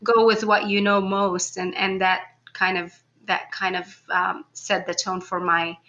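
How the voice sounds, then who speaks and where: A woman's voice: mid-range voice, little pitch movement, unhurried, sharp tone, lo-fi sound. A woman from Egypt talking casually and informally as a guest in an interview, chatty and given to laughing. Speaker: podcast guest, food blogger.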